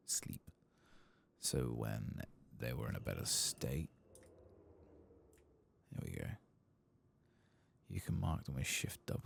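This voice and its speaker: Man, extremely low and husky, slow, soft-spoken gaming ASMR, slipping into whispers, hesitant musing. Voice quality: breathy, gravelly, drifting into whisper.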